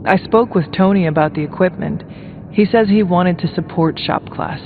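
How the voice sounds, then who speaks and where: An actor playing an earnest, calm hero leader in a video game, speaking formally and literally with full, careful phrasing. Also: male voice, high-pitched, moderate pace, phone-quality sound, background noise, lightly acted. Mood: calm, serious. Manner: formal, literal, avoids contractions. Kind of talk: video game dialogue acting.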